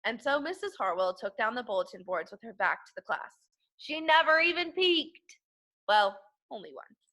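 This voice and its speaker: Woman, bright forceful tone, slightly high pitch, schoolteacher, animated picture-book reading, expressive delivery for young children. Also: normal speed. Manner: expressive, emphatic narration aimed at young children. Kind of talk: animated children's storybook read-aloud.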